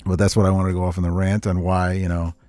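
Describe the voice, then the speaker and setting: Low-voiced middle-aged man, rambling casual chat. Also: flat delivery, quick pace, darkish tone, dry recording, soft background music. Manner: rambling, opinionated, joking. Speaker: podcast co-host.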